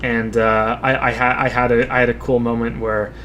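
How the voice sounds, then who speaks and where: A man's voice: medium pitch, flat delivery, normal speed, fairly bright tone, highs cut off, slight background noise. A man answering viewer questions on a live stream while playing a game. He talks casually and often repeats words as he thinks. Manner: plays a driving game while talking.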